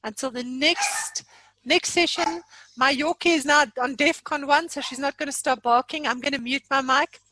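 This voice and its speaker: A woman's voice: high voice, normal speed, sharp tone, dry recording, low-quality recording. A woman hosting an informal online training session in a jokey, chatty, self-deprecating way. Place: remote online meeting from own room.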